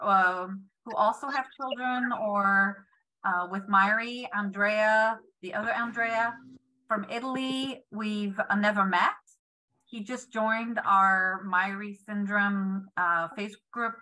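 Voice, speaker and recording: A woman's voice: mid-range voice, bright, forceful tone, low-quality recording. A woman in her fifties, a patient foundation president, speaking earnestly on a video call panel from her home, with many fillers and restarts. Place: home room indoors. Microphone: webcam on video call.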